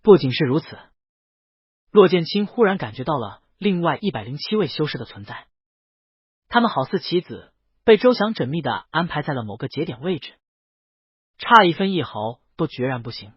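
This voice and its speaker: A man narrating a fantasy novel in an expressive, storytelling manner. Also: on the high side, normal speed, slightly rough, no room sound, muffled recording. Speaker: audiobook narrator.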